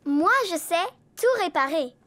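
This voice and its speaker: Very high-pitched eager little elephant girl. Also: unhurried, slight background noise, heavily acted. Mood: bossy, confident. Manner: animated, exclamatory, self-assured. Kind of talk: French children's cartoon dubbing.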